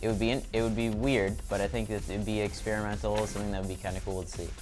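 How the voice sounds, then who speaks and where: A young man on a gaming panel who shares his opinions with quick enthusiasm. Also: on the low side, flat delivery, a little mellow, faint music behind. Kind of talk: casual panel chat.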